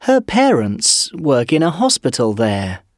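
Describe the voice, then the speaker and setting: A deliberate, clear reader works through textbook exercises at an unhurried pace. The voice moves lively up and down in pitch. The recording is close and dry, with no room around it. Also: no room sound. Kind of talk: slow scripted reading for learners.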